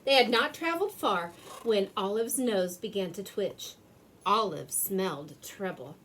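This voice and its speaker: A woman's voice: medium pitch, animated, measured pace, fairly bright tone, slight background noise. A woman narrating a children's storybook expressively, drawing words out for effect. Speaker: storybook reader. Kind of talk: animated children's read-aloud narration.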